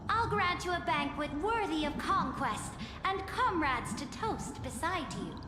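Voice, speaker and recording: A woman voicing an ambitious, commanding sovereign in a game, regal, deliberate and coaxing. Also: very high-pitched, animated. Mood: seductive, commanding. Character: imperious, ambitious female sovereign, seductive and commanding. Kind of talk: dramatic scripted game voice acting. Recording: echoey hall reverb under loud music.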